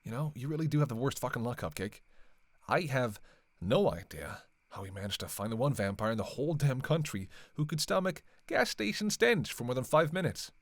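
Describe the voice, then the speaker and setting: A man's voice: mid-range voice, animated, a little fast, no echo. A man playing a sardonic, flirtatious, long-lived vampire killer in a roleplay audio drama, with casual, teasing, sweary banter. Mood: teasing, sarcastic. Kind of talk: casual roleplay audio acting, one side of a dialogue.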